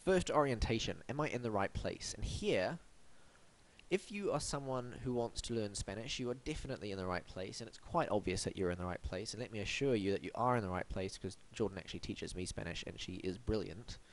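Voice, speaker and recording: A man's voice: on the low side, expressive, a little fast, rough recording. A man hosting a web design review, blocked up and sniffly from hay fever, with a wry, mock-formal, theatrical delivery. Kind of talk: scripted web review monologue.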